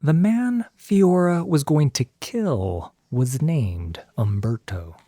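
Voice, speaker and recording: A man narrating a scripted fantasy story as an audiobook narrator, with deliberate, dramatic phrasing. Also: a little high, expressive, dark tone, no room sound, clean studio sound.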